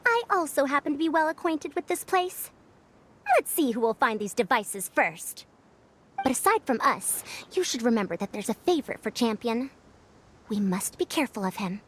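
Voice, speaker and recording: A woman's voice: fairly high pitch, big pitch swings, normal speed, a little hiss or hum. A woman voicing a self-assured, playful senior scholar in a video game, with lofty, formal phrasing. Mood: confident, lofty.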